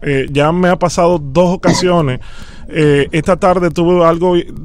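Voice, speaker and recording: Male prank caller, Dominican accent, high voice, dramatic, persistent, imploring delivery. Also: steady tone, a little slow. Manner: insistent, pleading, dramatic. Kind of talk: phone prank, improvised pleading conversation.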